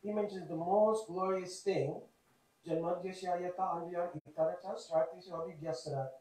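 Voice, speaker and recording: Hoarse man speaking in a cavernous hall. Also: high voice, steady tone, dark tone. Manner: earnest, explanatory, measured. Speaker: devotee speaker. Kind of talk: devotional talk. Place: temple hall. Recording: very echoey hall, voice from far off.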